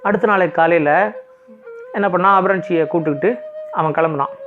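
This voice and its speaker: Male bedtime storyteller: informal, simple, high register, thin phone-like recording. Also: fairly quick. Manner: conversational, explains simply. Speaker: children's storyteller. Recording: thin phone-like sound, music behind.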